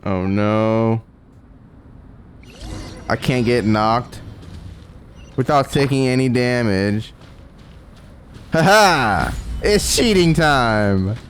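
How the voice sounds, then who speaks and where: A woman's voice: extremely low pitch, animated, drawn-out words, pauses often, a little hiss or hum. A woman giving casual, playful commentary while she plays a video game, in an offhand way. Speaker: gaming video host.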